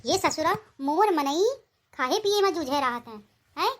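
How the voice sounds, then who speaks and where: A woman voicing sharp-tongued, combative village women in a comic cartoon, with an Awadhi Hindi accent. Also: high-pitched, lively intonation, a little slow. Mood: defiant, irritated. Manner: scolding, combative. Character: sharp-tongued village wife and her scolding mother-in-law, cartoon.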